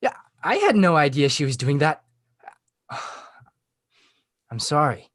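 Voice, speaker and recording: Beleaguered high school class president, male actor, defensive and halting, very animated pitch, dry close sound. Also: dry recording. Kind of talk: comedy script table read.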